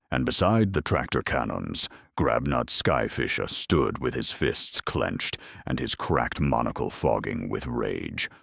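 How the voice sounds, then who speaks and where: Male story narrator: rumbling bass, husky, unhurried, swinging widely in pitch, grimly wry. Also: no room sound, studio quality. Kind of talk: dramatic scripted narration.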